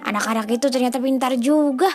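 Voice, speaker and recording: A woman's voice: high voice, fast, darkish tone, close to an everyday voice. A woman voicing a greedy, wicked, scheming male wolf in a children's storybook tale. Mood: gloating, scheming.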